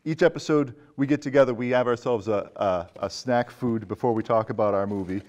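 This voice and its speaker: A man's voice: mid-range voice, fairly quick, a little mellow. A man hosting a film talk show in a casual, conversational style. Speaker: film podcast host. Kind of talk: casual chat.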